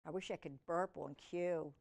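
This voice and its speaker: A woman's voice: low-pitched, steady tone, moderate pace, creaky, darkish tone. A woman hosting a children's storytime, reading a picture book aloud expressively and adding chatty asides and questions to her young viewers.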